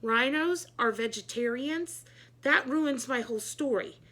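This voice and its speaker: A woman's voice: high-pitched, measured pace, fairly bright tone. A woman voicing a reluctant, easily upset female children's author in a storybook, polite in manner.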